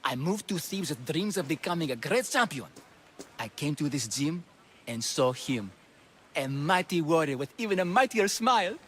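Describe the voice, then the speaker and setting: An actor playing a gushing, lovesick ancient Greek athlete in a video game, fretful and theatrical. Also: male voice, fairly high pitch, very animated, normal speed, fairly bright tone, slight background noise. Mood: dreamy, adoring. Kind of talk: video game cutscene acting.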